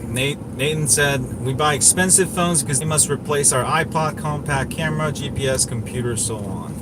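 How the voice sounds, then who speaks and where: Rambling man over clear background noise. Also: fairly high pitch, moderate pace. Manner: rambling, opinionated, repeats himself. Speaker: tech livestream host. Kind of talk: casual livestream chat, answering viewers.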